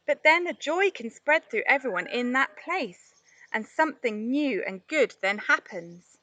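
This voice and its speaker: Woman playing a kind encouraging animal friend in a kids tale, gentle, earnest, high voice. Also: lively intonation, measured pace, heavily acted.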